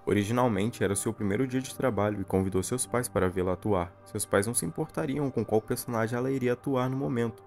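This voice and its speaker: A man's voice: mid-range voice, quick pace, few pauses, darkish tone, soft background music. A man with a Brazilian accent reading a scripted narration that recaps a horror series. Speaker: video narrator.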